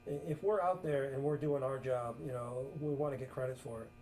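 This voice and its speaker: A man co-hosting a wrestling talk show, chatting casually, laughing often and filling pauses with "uh". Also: mid-range voice, fairly even intonation, dark tone, slight background noise. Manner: chatty, laughs often, heavy use of "uh" fillers. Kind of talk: casual commentary chat.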